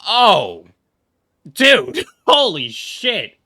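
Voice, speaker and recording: Brash, animated young man, bright voice. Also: sits high, drawn-out words, no room sound, clean studio sound. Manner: brash, heavily profane, exclamatory. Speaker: game streamer. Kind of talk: casual live reaction commentary. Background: game music.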